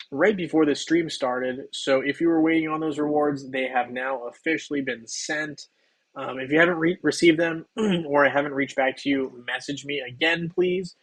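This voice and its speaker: A slightly hoarse man hosting a game livestream, chatting loosely with viewers while he plays, with plenty of ums and restarts. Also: fairly high pitch, rough recording. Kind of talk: casual livestream chat while playing.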